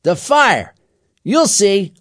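A man's voice: on the high side, very animated, slow pace, no echo, clean studio sound, voice pushed up, heavily acted. A man voicing a domineering, menacing boy who leads a gang and barks orders in a children's story. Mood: bossy, threatening. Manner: domineering, commanding.

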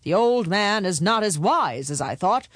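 A woman's voice: mid-range voice, expressive, measured pace, dry recording, clean studio sound. A woman voicing a confident, sceptical young man in a storybook tale, brash and self-assured. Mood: smug. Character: confident, sceptical young sons off to slay a dragon.

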